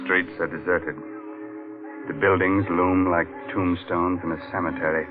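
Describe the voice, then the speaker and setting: Ghoulish male host, deep, sardonic, theatrical, 1940s radio horror, muffled old broadcast. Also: level tone, a little slow. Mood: ominous. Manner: tongue-in-cheek, sinister, theatrical. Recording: muffled vintage radio, loud music behind.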